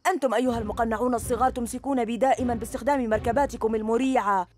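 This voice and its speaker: A woman voicing a small boastful boy ninja villain in a dubbed cartoon, with a shrill bragging manner. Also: fairly even intonation, very fast, a little hiss or hum, close to an everyday voice. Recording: studio voice with loud cartoon music.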